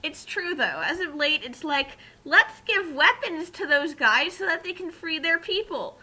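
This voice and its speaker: A woman's voice: very high-pitched, animated, a little slow, pushed and bright. A woman from the United States giving casual, riffing commentary as a co-host.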